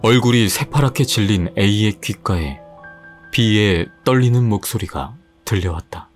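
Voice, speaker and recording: A man narrating a horror story in a measured, suspenseful style. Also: fairly low pitch. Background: faint music.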